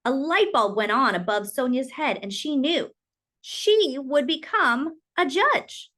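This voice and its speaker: Woman, children's storyteller, fairly high voice, very lively intonation, expressive read-aloud, dramatic emphasis. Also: takes it slowly.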